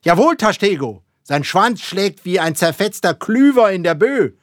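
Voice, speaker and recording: A man's voice: a little high, sing-song, slow pace, dry recording, clean studio sound, voice pushed up. Man acting a roaring, fanatical whaling captain. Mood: wild excitement. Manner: loud, declamatory, exclaiming. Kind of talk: dramatic audiobook character reading.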